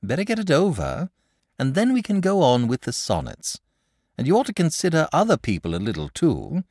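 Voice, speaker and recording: A man voicing an eager, excitable young village boy in a storybook reading, pleading and high-pitched. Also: normal speed, no echo, studio quality. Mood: excited.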